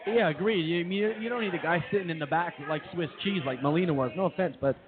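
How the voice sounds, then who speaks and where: A man's voice: high voice, fast talker, noisy background. A man co-hosting a radio show with casual, joking banter. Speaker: radio co-host. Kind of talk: casual comedy and sports banter. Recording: tinny phone-like audio, busy crowd behind.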